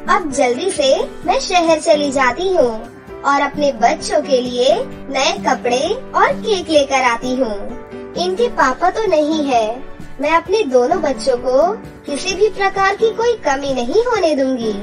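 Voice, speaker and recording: A woman's voice: high voice, expressive, a little slow, recorded from a distance, music in the background. A woman voicing a devoted, hard-working mother in a cartoon story, reassuring and motherly. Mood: determined. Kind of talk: cartoon voice acting.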